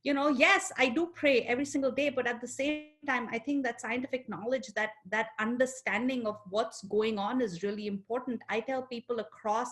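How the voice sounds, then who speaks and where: A woman's voice: on the high side, fairly even intonation, fairly quick, few pauses, a bit bright. Earnest Indian-accented woman psychologist. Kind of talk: online panel discussion.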